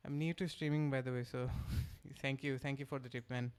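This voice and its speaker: A man's voice: mid-range voice, fairly quick, smooth. A young man from Bangladesh with a Bangladeshi accent, a native Bengali speaker, chatting casually and thinking aloud while he codes on a live stream. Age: young adult. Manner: relaxed, thinking aloud, reading chat and answering. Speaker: streamer and developer.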